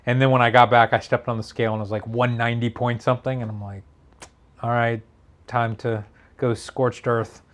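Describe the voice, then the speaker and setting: A man co-hosting a casual chat show, with a slightly raspy voice. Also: mid-range voice, level tone, brisk. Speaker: show co-host reading viewer messages.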